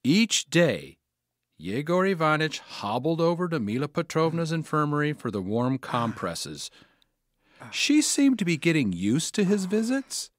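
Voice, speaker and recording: Male narrator for audio drama: wry village storyteller, animated pitch, dry studio recording. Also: unhurried, dry recording. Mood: wry, storytelling.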